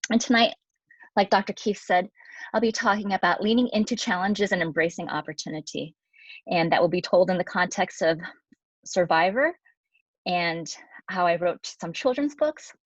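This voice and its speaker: Woman in her 40s giving an online talk, lowish voice, dry muffled sound. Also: normal speed, no echo. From United States. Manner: lively, conversational, with fillers. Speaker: guest speaker, physician. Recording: dry, dull lo-fi audio.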